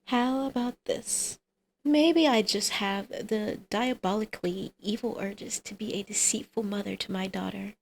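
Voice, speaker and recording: A woman's voice: mid-range voice, fairly even intonation, measured pace, vocal fry. A woman playing a brazen, scheming supervillain mother in an ASMR roleplay, teasing, smug and coaxing. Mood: coaxing, sly.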